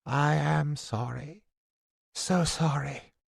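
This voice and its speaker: A shamed male jester-prophet speaks in a high, thin voice, as a man acts him out in an audiobook. The voice quivers with grief, and the delivery is slow and close to the mic. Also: steady tone, creaky, slightly rough, no echo, full character voice. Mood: broken, hushed.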